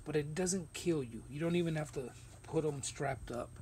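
A man's voice: on the high side, normal speed, mellow, a little hiss or hum. A man hosting a casual sneaker unboxing vlog, talking in a loose, rambling way with plenty of slang, recorded on a phone camera in a room stacked with shoe boxes. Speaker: sneaker reviewer.

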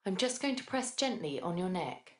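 A young woman speaking as a clinician, giving polite, gently phrased instructions to a patient in a small room. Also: lowish voice, sing-song, moderate pace. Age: young adult. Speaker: clinician demonstrating an examination. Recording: roomy, echoey clinic room sound.

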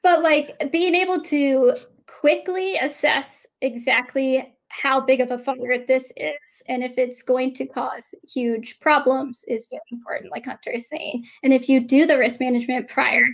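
A woman's voice: sits high, steady tone, a little slow, few pauses. A woman in her late twenties co-hosting a casual video call chat, talking loosely with lots of fillers. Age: 20s. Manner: chatty, lots of "like". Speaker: co-host.

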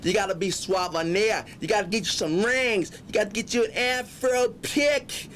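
A man's voice: normal speed, fairly bright tone. An American man hosting a comic live call-in show, with an exaggerated, playful jive delivery. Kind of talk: comic live phone-in banter. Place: small studio with acoustic foam walls. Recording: low-fi tape, some rumbly noise.

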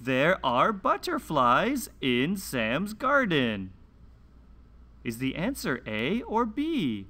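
Bright, animated man teaching reading slowly. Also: fairly high pitch. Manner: deliberate, carefully enunciated, sing-song emphasis. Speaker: teacher hosting a children's read-along show. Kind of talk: slow, scripted reading lesson for young children.

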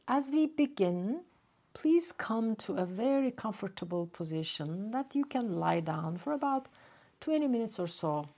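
Woman, yoga nidra teacher, lowish voice, creaky edges, gentle soothing instruction, long pauses. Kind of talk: guided relaxation meditation, soothing instruction.

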